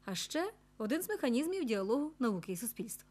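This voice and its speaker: A woman's voice: a little high, big pitch swings, fast, darkish tone. A woman reporter reading scripted narration for a television news report.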